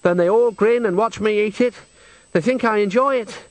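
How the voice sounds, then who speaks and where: A man voicing a wistful opera singer from rough city slums, hesitant and complaining, in a storybook reading. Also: high-pitched, normal speed, no echo, voice pushed up, lightly acted, poor audio. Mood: plaintive.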